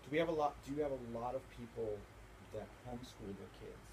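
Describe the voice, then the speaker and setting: A man's voice: mid-range voice, quick pace, a little mellow, poor audio. A man conducting an informal interview, speaking in an opinionated, emphatic way and repeating phrases for effect. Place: inside a bookstore. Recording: faint background noise.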